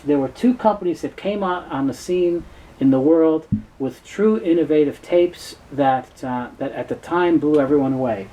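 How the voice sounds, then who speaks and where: A man giving an informal explainer talk as a product presenter, speaking in a halting, explanatory way. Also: on the high side, warm tone, low-quality recording. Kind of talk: informal explainer talk about audio gear. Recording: clear background noise.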